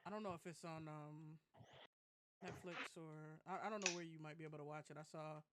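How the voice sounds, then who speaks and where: Male host, high-pitched voice, casual hesitant delivery, noisy clicky microphone feed. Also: fairly even intonation, a little fast. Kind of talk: casual chat.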